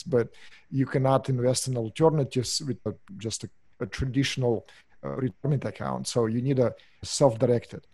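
A middle-aged man from Russia with a Russian accent, a business owner explaining his work in an interview, pausing often with fillers. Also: mid-range voice, a little mellow, dry recording. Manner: hesitant, many uhs and restarts. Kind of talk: interview answers.

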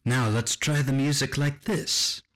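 Radio drama role: cold, condescending machine, male actor, mid-pitched, very measured and slow, bent on control. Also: fairly even intonation, a bit bright, soft background music, close to an everyday voice. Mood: commanding, gloating. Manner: deliberate, condescending. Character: cold, superior music-making machine with ambitions of control.

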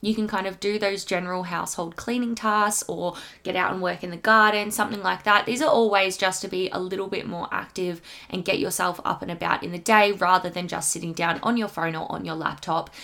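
A woman's voice: lowish voice, steady tone, fairly quick, vocal fry, hardly any pauses, pushed and bright. Congested woman hosting a podcast. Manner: explanatory, addresses listeners directly. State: congested, slightly unwell. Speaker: podcast host, secondary school health teacher. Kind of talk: informative podcast talk to listeners.

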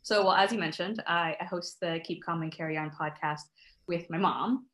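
Young american woman talking crochet on a podcast, lowish voice, lots of ums, roomy echo. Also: normal speed, fairly bright tone. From United States. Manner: chatty, laughs often, many ums and restarts. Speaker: podcast guest, crochet designer. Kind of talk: casual interview chat.

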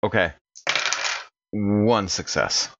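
A man's voice: on the low side, extremely slow, no room sound, highs cut off. A man chatting casually as a player in a tabletop game.

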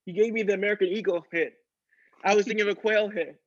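Man as petulant comic actor son, high voice, flustered, muffled recording. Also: fairly even intonation, quick pace. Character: petulant actor son who pistol-whips his parents. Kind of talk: improvised audio comedy.

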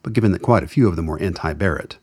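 A man's voice: sits low, very fast, slightly rough. A man reading scripted literary narration as the narrator of a radio play.

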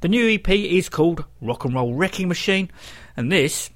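A man's voice: high voice, lively intonation, moderate pace. A man presenting a rock music radio show, introducing records between tracks. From United Kingdom.

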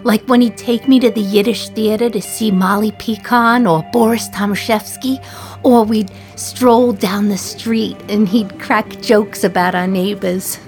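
Actress playing a slow-talking, wry 1950s New York woman. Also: mid-range voice, steady tone, a little mellow, soft background music, close to an everyday voice. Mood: fond, wistful. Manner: sardonic, confiding, reminiscing. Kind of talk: radio play monologue.

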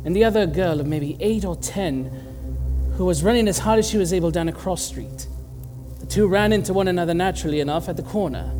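Affable man about town, male actor: high male register, genteel period dialogue, expressive and sociable. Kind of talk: period audio drama dialogue.

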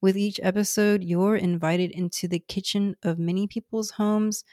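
A woman in her thirties from Houston, whose first language is Cantonese, reading a scripted podcast introduction as host in a measured, earnest way. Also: medium pitch, fairly even intonation, dark tone, no room sound, studio quality. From Houston, Texas, USA. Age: 30s.

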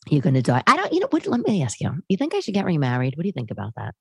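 A woman in her late thirties chats to her audience in a somewhat low voice, recorded dry with little room sound. Her delivery is casual and animated, like an unscripted podcast monologue. She is opinionated and direct, addressing listeners as if in conversation. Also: rapid-fire, creaky, breathy edge, no echo. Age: late 30s. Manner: animated, conversational, acts out others' remarks. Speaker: podcast host.

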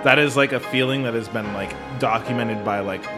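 A man's voice: medium pitch, fairly quick. A man in a casual music discussion, talking in a rambling way and often checking for agreement with "right?". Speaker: podcast co-host.

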